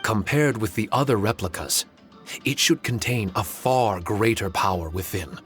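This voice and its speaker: A man voicing a courteous, solemn ancient guardian spirit in an anime game, speaking formally and in a measured way. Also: lowish voice, fairly bright tone, soft background music, natural voice. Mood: earnest, grateful.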